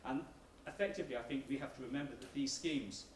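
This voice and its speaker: A man's voice: mid-range voice, quick pace. An emphatic man presents research to a public meeting, persuasive in his delivery. He is picked up from a distance in an echoing room, with faint noise underneath. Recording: roomy hall, distant mic, background noise.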